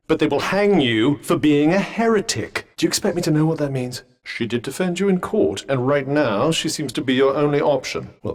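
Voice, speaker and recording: A man's voice: fairly high pitch, big-room sound. A British man voicing a witty, haughty magical talking vambrace in a video game, with pompous, droll and sardonic delivery. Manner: pompous, droll, erudite wording. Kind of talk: video game character dialogue.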